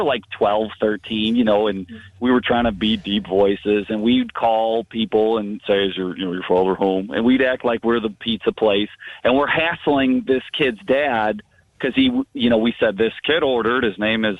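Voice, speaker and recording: A man phoning in to a radio show, casually reminiscing in a rambling way with lots of "you know" asides. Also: mid-range voice. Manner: rambling, frequent 'you know' asides. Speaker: phone-in guest sharing memories. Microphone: telephone. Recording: muffled phone line on radio.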